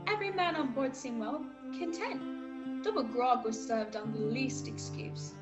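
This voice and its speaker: A woman's voice: high voice, a little slow, muffled recording, loud music behind the voice. A woman playing an earnest young cabin boy who narrates his sea tale in a scripted radio play. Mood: earnest, storytelling.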